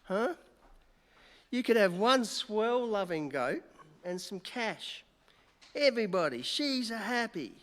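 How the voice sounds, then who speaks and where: An actor playing a boozy, wheedling New York newspaper reporter in a 1940s radio play, halting and coaxing. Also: mid-range voice, big pitch swings, fairly bright tone. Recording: echoey hall, music behind.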